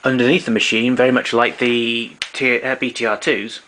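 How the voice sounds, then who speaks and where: A man's voice: medium pitch, flat delivery, normal speed, fairly bright tone, low-quality recording. A man gives an informal technical demonstration in a matter-of-fact, explanatory way. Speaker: vintage tape recorder collector presenting. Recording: clear background noise, room ambience.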